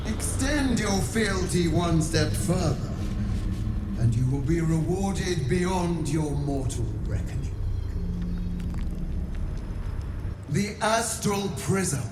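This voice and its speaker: High, echoing, haughty monarch. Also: male voice. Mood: regal, appraising. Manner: grand, formal, haughty. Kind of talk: video game cutscene acting. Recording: echoing voice over noisy ambience.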